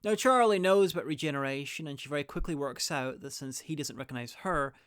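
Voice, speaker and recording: A man's voice: on the high side, brisk, over loud music. A man presenting a scripted guide, narrating with dry, opinionated asides. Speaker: video presenter and reviewer.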